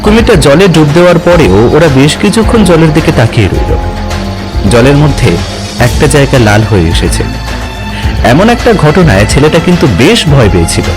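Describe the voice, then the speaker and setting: A man's voice: on the high side, big pitch swings, a little fast, over music. A man narrating adventure fiction as a dramatic, scripted audio story.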